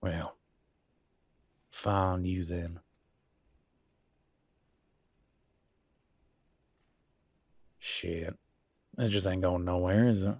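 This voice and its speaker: A man's voice: deep voice, steady tone, slow, a little mellow, no echo, studio quality, heavily acted. A man playing a proud, wounded werewolf husband and country vet in a roleplay audio drama, with a drawl and a folksy, bitter, raw way of talking. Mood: subdued, weary. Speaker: voice actor. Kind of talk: dramatic roleplay audio, one side of a couple's argument.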